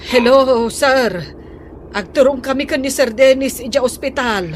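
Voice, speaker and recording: A woman's voice: sits high, a little slow. A woman playing an anxious, deferential household maid in an Ilocano radio drama, with noise behind her. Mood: alarmed, urgent.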